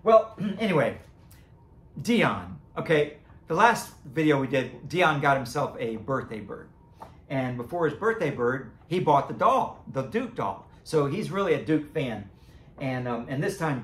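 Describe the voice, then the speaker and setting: Hoarse man chatting in an echoey room. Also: mid-range voice, a little hiss or hum. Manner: rambling, chuckling, self-correcting. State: losing his voice, cracking. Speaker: creator and seller of animatronic band items. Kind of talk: casual unboxing vlog chat.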